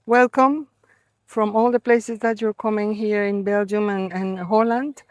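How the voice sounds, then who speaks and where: A middle-aged woman from Colombia with a Colombian Spanish accent, giving an earnest, reflective spiritual talk to a gathering, with many pauses and fillers. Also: medium pitch, fairly even intonation, dry recording, clean studio sound. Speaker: gathering organizer.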